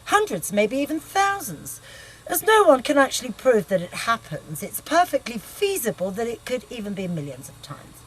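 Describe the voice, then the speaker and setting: A woman's voice: fairly high pitch, big pitch swings, moderate pace, rough recording. A woman playing a brash, irritable narrator in a comedic book reading, sardonic, bossy and blunt. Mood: musing. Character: brash, irritable sweary woman musing on past lives.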